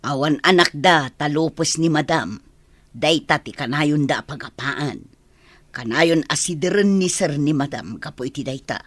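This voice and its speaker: A woman's voice: low voice, animated, measured pace, dry recording. A woman with an Ilocano accent playing a bossy, gossipy senior kitchen maid in a village comedy radio drama. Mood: gossipy, touchy.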